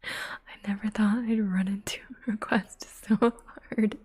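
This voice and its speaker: A woman hosting an ASMR livestream, chatting softly to viewers in a breathy whisper with unhurried asides. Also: mid-range voice, steady tone. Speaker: livestream host, ASMR artist.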